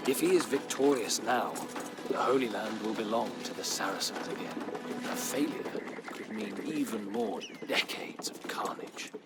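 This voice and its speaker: An actor playing a weary, middle-aged former crusader knight who narrates a game's war chronicle in a solemn, measured, dramatic storytelling manner. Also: male voice, fairly low pitch, lightly acted. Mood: somber, foreboding. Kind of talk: dramatic scripted first-person narration. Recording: music under the voice. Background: music.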